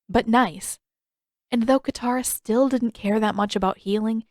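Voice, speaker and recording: A woman's voice: medium pitch, darkish tone, dry recording, studio quality. A woman reading fan fiction aloud as scripted audiobook narration.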